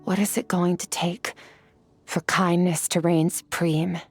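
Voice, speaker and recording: An aloof, husky-voiced warrior. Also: female voice, measured pace, no room sound, faint music behind. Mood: contemplative. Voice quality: husky, low and composed. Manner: calm, detached, philosophical delivery. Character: cool, aloof ageless woman warrior. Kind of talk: video game voice acting.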